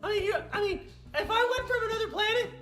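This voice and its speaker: A performer voicing an excitable, giggly little girl puppet who hosts a kids' show, gushing and repeating exclamations. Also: very high voice, fast talker. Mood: excited, giggly. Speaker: puppet show host for kids. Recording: roomy echo, faint music.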